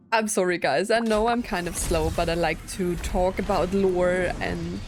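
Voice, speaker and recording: A woman's voice: on the low side, fairly even intonation, a little slow. A woman streamer giving casual, chatty, giggly commentary as she plays a story game. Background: game music.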